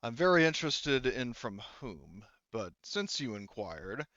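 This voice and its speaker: A man plays a stern warlord in a tabletop game. His delivery is measured and formal, with a coy, curt edge. The pitch is middling and the sound dry. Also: very animated, fairly bright tone, no room sound, dull audio. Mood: coy, unamused.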